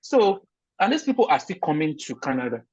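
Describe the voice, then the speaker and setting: A man's voice: on the high side, very animated, brisk, darkish tone, dry recording. Earnest Nigerian man, dull lo-fi sound. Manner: earnest, emphatic, frequent restarts. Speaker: interview guest, recent immigrant. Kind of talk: conversational interview answers.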